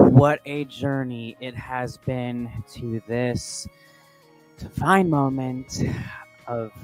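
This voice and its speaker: A man speaking as a spiritual coach in an effusive, emphatic motivational style, with dramatic pauses and repetition. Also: medium pitch, low-quality recording. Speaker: spiritual manifestation coach and host. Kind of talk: spiritual motivational talk to listeners.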